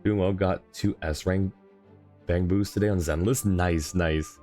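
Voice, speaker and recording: A man's voice: deep voice, fairly even intonation, unhurried, mellow, faint music behind. A man livestreaming a game in a casual, chatty way, thinking aloud and talking to his chat.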